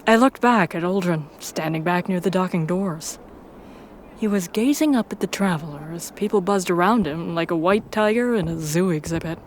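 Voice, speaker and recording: A woman narrator with a lowish voice reads a scripted story in the first person. Faint noise sits behind her voice. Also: moderate pace.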